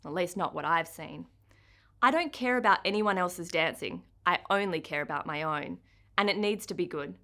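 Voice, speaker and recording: A woman's voice: fairly low pitch, moderate pace, pushed and bright. Australian woman reading a children's story. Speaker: author reading her own book. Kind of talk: expressive children's storytime narration, first person.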